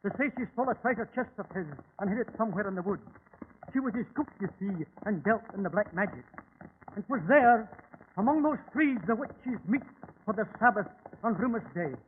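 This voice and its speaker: An actor playing a superstitious, fearful Scottish carriage driver in an ominous, theatrical old radio drama style. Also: male voice, sits high, expressive, a little fast. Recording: noisy old radio drama, tinny phone-like band.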